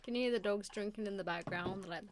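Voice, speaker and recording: Hoarse woman hosting a live stream. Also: medium pitch, fast, background noise. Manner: chatty, greets viewers by name. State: hoarse, losing her voice. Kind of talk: casual live stream chat.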